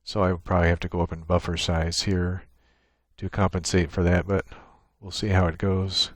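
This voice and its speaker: A man presenting an unscripted screen-share software tutorial in a halting, thinking-aloud way. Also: sits low, flat delivery, no room sound, studio quality.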